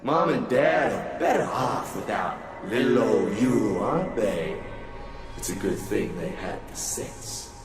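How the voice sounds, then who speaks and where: A man's voice: lowish voice, lively intonation, slow. A man voicing a cruel, mocking ghostly tormentor for a horror visual novel, with contemptuous, jeering delivery. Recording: heavy echo, distant, music underneath.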